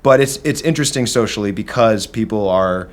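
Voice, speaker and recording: A man's voice: fairly low pitch, fairly quick. A man with a Midwestern accent, a drummer telling stories in a casual podcast chat. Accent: Midwestern American. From American Midwest. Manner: rambling storyteller, many fillers and restarts. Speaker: drummer and guest.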